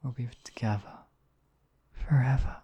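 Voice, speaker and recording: A man playing a demon king in an intimate ASMR roleplay, husky and theatrical, with deliberate phrasing and lingering pauses. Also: medium pitch, warm tone. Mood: soft, loving. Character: demon king, menacing captor act turning tender, devoted lover.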